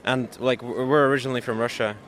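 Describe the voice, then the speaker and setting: Young guy in a street interview, mid-pitch voice, keeps tripping over his words. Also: steady tone, quick pace, fairly bright tone, a little hiss or hum. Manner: hesitant, stumbling, many restarts. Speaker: passer-by interviewee. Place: city street outdoors.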